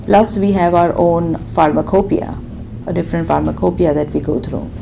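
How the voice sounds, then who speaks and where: A woman in her thirties from India with a Gujarati accent, answering questions in a deposition in a conference room, soft-spoken and hesitant. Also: lowish voice, steady tone, moderate pace, vocal fry, noisy background. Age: 30s. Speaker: deposition witness, physician assistant. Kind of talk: answering questions under oath.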